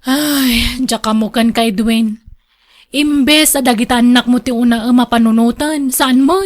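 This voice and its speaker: A woman's voice: a little high, fairly even intonation, unhurried, lightly acted. An actress with an Ilocano accent playing a scolding, thrifty wife and mother in a radio drama. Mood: exasperated. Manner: scolding, reproachful. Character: nagging, thrifty wife and mother, exasperated with vain husband.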